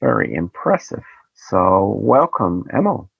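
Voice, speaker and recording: A man's voice: on the low side, sing-song, slow, creaky, slightly rough, dry recording. A man hosting a podcast, chatting in an enthusiastic interviewer manner. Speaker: podcast host. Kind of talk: casual interview chat. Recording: slightly muffled dry podcast audio.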